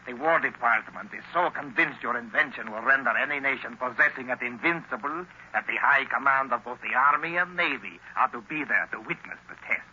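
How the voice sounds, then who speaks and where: An actor playing a dutiful, wary chief assistant to a villain, formal and deferential, in 1930s radio drama style. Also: male voice, medium pitch, fairly quick, hardly any pauses, sharp tone, a little hiss or hum. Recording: muffled 1930s radio recording.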